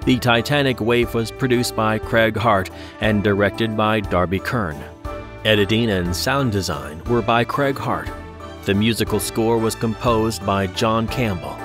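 A man's voice: fairly low pitch, unhurried, over music. A man working as an announcer, reading scripted credits narration.

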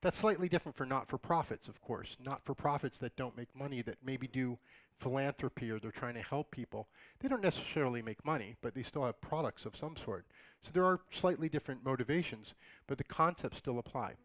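A middle-aged man with a slightly raspy voice, giving a casual conference talk with joking asides to the audience. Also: medium pitch, expressive, a little fast, no echo, rough recording. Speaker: conference speaker. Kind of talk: conversational lecture. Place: dark auditorium, in front of a projected slide.

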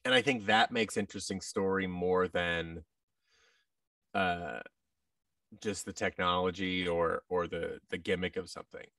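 Low-pitched man, slightly distant. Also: a little slow, creaky, a bit bright, no room sound, clean studio sound. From United States. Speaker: interview guest, actor and voice-over artist. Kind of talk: casual interview talk. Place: large indoor room with tables, on video call. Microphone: boom-arm microphone, headset.

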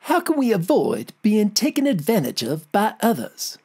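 A woman's voice: mid-range voice, huge pitch swings, moderate pace, dark tone, breathy edge. A woman reading scripted educational questions as a presenter.

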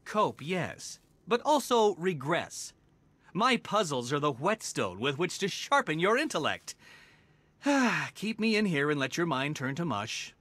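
An actor playing a smug, vain puzzle villain in a video game, with a theatrical, condescending manner. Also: male voice, on the high side, big pitch swings, unhurried, fairly bright tone, no room sound, heavily acted. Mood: smug, taunting.

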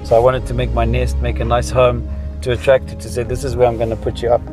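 A man in his fifties with a Zimbabwean accent tells personal stories for a vlog. His pitch sits in the middle, and he explains things casually as he reminisces. Music plays clearly behind his voice. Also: monotone, quick pace. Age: 50s. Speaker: vlogger.

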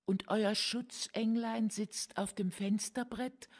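An actress playing a caring, orderly woman of a country household, gentle but firm, in an audio drama. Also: on the high side, fairly even intonation, slow pace.

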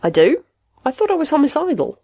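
An excitable man in therapy, played by a woman reader, self-conscious yet pugnacious. He blurts things out informally and doubtfully, his pitch jumping around in an audio story. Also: brisk, creaky, exaggerated voice. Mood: puzzled.

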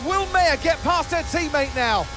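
An actor playing an enthusiastic TV commentator calling a motor race in a game. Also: male voice, extremely high pitch, expressive, unhurried, heavy background noise. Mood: excited. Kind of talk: sports commentary.

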